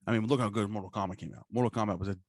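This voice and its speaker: Low-voiced man, slangy podcast co-host. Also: steady tone, rapid-fire, darkish tone, no echo, studio quality. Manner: profane, slangy. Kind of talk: casual pop-culture chat.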